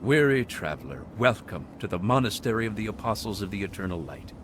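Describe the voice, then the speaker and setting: A man's voice: fairly low pitch, lively intonation, fairly quick, a little hiss or hum, lightly acted. A man playing a pious cult monk. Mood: serene. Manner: soft, pious, sermonizing. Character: serene zealous male cult monk. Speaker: game character. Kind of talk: video game dialogue.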